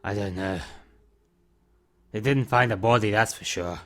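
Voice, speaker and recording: Male actor as older recluse, low voice, calm, firm, fatherly, terse measured lines, audio drama. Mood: quiet, confiding. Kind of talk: amateur audio drama dialogue.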